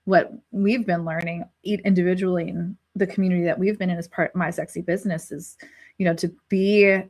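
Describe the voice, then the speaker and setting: A woman's voice: lowish voice, fairly even intonation, a little fast, a little mellow. A woman hosting a live panel discussion, speaking reflectively with frequent restarts, who is five months pregnant. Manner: reflective, searching, many restarts. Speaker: host.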